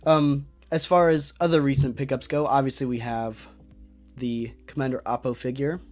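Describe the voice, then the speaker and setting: Young guy, livestream host, eager rambling chat, mid-pitched voice, faint music underneath. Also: fairly even intonation, moderate pace, darkish tone. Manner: enthusiastic, rambling, many fillers and restarts. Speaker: host of a toy collecting livestream.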